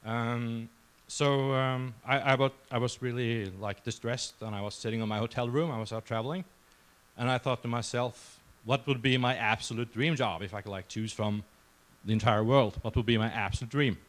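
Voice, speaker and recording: A man's voice: mid-range voice, steady tone, normal speed. A man of about forty from Norway, with a Norwegian accent, giving an informal, conversational conference talk to a crowd. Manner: informal, conversational, self-deprecating. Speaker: conference speaker. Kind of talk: casual conference presentation. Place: conference session room before a crowd.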